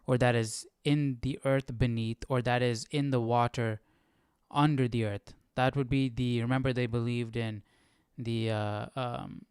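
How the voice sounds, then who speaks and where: A man with a smooth, clear voice leads a casual Bible study. He reads and explains while thinking aloud, often tagging his points with a short check, and the sound is dry. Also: medium pitch, fairly even intonation, unhurried, a little mellow, no room sound. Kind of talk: casual live study commentary, reading verses and explaining.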